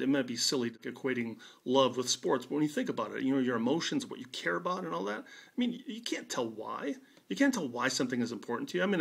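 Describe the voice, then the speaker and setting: A man's voice: a little high, vocal fry. A man in his fifties with an American accent gives a conversational personal monologue in a wry, emphatic way. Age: 50s. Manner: wry, emphatic, rhetorical questions. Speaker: video blogger.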